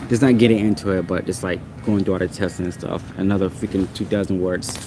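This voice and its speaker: A man's voice: sits low, steady tone, fast, background noise. A man whose first language is Portuguese, ranting casually and with exasperation in a rambling, repetitive way. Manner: exasperated, rambling, repeats himself.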